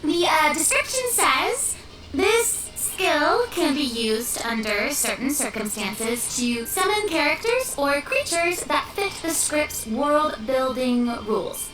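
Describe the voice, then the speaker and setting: A woman voicing a tiny floating female companion who is eager, excitable and snack-loving, and talks about herself by name. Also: sits high, slow pace, exaggerated voice. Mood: excited. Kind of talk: game voice acting. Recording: distant echoey game audio with music.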